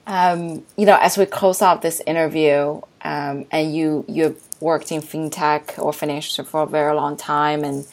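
A woman hosting an interview, asking questions in a measured, encouraging way. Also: sits low, level tone, a little mellow. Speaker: interviewer host.

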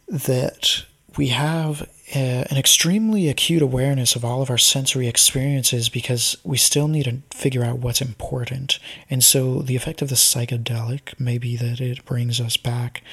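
A man giving an unscripted explanatory monologue, speaking in a thoughtful, speculative way with frequent pauses and ums. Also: medium pitch, fairly bright tone, slightly rough.

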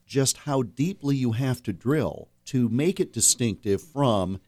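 A man hosting a public radio interview program, speaking in a measured, conversational way. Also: mid-range voice, animated, darkish tone, no room sound. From Iowa.

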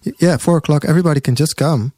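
A man's voice: a little high, dark tone, no echo, clean studio sound. Chatty man hosting a radio interview. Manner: chatty, halting with fillers and restarts. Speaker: radio host. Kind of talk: casual live radio interview.

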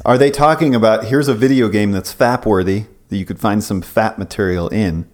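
Man, podcast co-host, low voice, wry, teasing, curious. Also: brisk, darkish tone. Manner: wry, teasing, asks probing questions. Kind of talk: casual chat.

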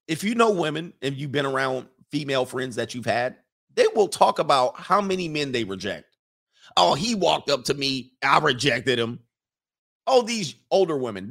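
A man's voice: medium pitch, fairly bright tone. A man hosting a livestream, rambling casually, reading chat comments aloud and laughing often. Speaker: livestream host.

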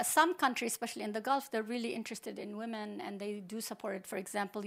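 A middle-aged woman from Palestine, a computer science professor, speaking as a panel guest in a hesitant, unscripted way with many restarts. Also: medium pitch.

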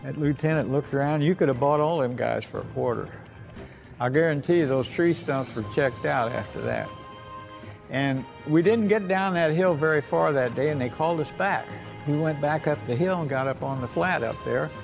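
An older American man, a war veteran, telling his memories informally in a folksy, halting way with repeated phrases. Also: a little high, creaky, faint music behind, low-quality recording. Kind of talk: informal oral-history storytelling.

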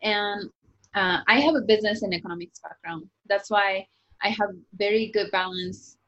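A Japanese woman whose first language is Japanese, speaking English with a Japanese accent as a guest author, chatty and lively and full of "like" fillers. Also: mid-range voice, normal speed, lo-fi sound. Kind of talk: casual interview talk.

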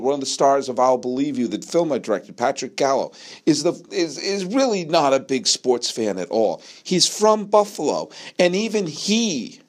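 An American man from New England giving an opinionated solo sports monologue, speaking emphatically and repeating phrases for effect. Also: on the high side. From New England, United States. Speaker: sports podcast host.

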